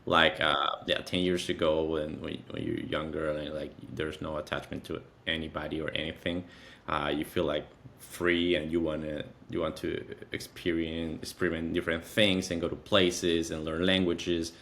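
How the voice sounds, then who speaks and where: Male, 30s, Venezuelan accent, native Spanish speaker, deep register, halting conversational interview delivery with repeated phrases. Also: little pitch movement, vocal fry, fairly bright tone, a little hiss or hum. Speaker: interview guest, content creator.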